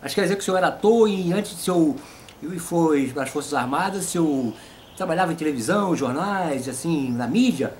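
Man, fifties, Brazilian accent, higher-pitched, casual interview host, faint background noise. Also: expressive, a little fast. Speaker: host and puppeteer.

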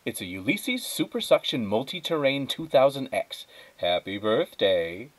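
A man's voice: mid-range voice, sing-song, a little slow, dry recording, voice pushed up. A man voicing a proud, eager husband boasting like a salesman in a children's storybook. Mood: boastful. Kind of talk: children's storybook read-aloud.

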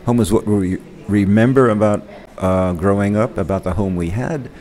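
A man, a gallery member and poet, musing casually about his work with frequent pauses and fillers. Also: fairly low pitch, mellow. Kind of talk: casual artist statement.

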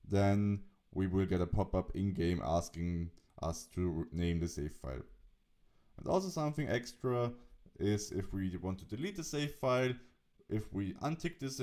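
A man narrating an instructional software tutorial, explaining steps as the presenter. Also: on the low side.

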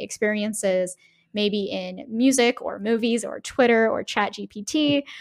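A woman co-hosts a casual, analytical podcast. Her voice sits at a middle pitch. She talks in a discursive, winding way and keeps checking in with a tagged-on "right?". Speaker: podcast host.

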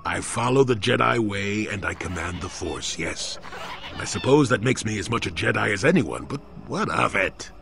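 An actor playing a crotchety old hermit Jedi in a video game, with a wry, gruff, sardonic manner. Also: male voice, lowish voice, animated, normal speed, fairly bright tone, a little hiss or hum. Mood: dismissive, wry. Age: older. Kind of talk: video game character acting.

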